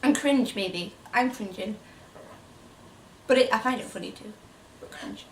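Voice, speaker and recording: A woman's voice: medium pitch, unhurried. A woman co-hosting a review channel, adding short, casual remarks in conversation. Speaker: book review channel co-host. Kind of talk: casual chat, brief interjections. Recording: echoey room, distant mic, faint noise.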